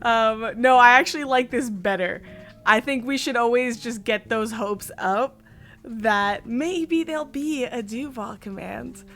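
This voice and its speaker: A woman hosting a casual livestream chat, her voice hoarse after losing it, giggling and chatting to her viewers. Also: fairly high pitch, a little slow, a bit bright, faint music behind. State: hoarse, partly lost voice. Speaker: streamer host.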